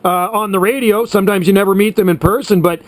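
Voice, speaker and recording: Chatty higher-pitched man on the radio. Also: fast talker, no room sound. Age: around 60. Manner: chatty, reminiscing, uses radio sign-offs. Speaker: amateur CB radio operator. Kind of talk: casual radio chat.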